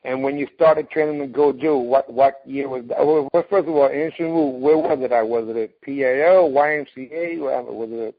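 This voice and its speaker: A man's voice: mid-range voice, brisk, few pauses. A man hosting a casual interview, speaking emphatically and effusively, with respectful formality toward the guest, on dull radio-style audio. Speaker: interviewer, host of a martial arts talk show. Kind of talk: casual interview, enthusiastic introduction.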